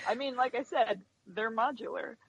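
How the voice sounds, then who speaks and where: A woman's voice: on the high side, normal speed, crowd noise. A chatty woman guest, an animator explaining her craft casually in an interview over dull web audio. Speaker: guest stop-motion animator and puppet maker.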